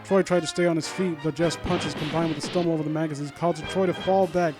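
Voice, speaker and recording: A man with a fairly high voice does casual commentary and story reading. He is sardonic and informal, and trips over his words as he goes. Music plays faintly behind him. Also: fairly even intonation, fast talker. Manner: sardonic, mock-supportive asides, informal, stumbling over read lines. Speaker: host of a critique series reading a story aloud and commenting.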